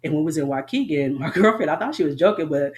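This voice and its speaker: A woman's voice: low-pitched, expressive, quick pace. Middle-aged woman, Southern US accent, candid veteran interviewee who rambles. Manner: rambling, frank, laughs often. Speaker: army veteran guest.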